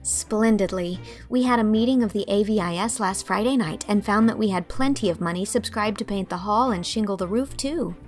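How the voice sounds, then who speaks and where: A woman voicing an earnest, dreamy young woman in a storybook, with an expressive, gently scolding manner. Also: mid-range voice, brisk, hardly any pauses, soft background music, close to an everyday voice. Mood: bright, chatty. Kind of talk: audiobook character acting.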